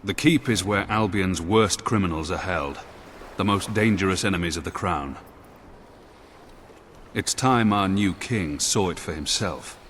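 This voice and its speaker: A man voicing a grave, dutiful military commander of a prison in a video game, with a formal, measured, deferential manner. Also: low-pitched, a bit bright, a little hiss or hum. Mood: formal, solemn.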